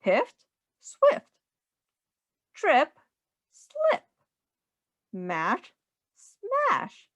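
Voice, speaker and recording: A woman's voice: high-pitched, huge pitch swings, drawn-out words, long pauses, a bit bright, dry recording, highs cut off. A woman reading a children's picture book aloud in a sing-song way, as a teacher speaking simply to young children.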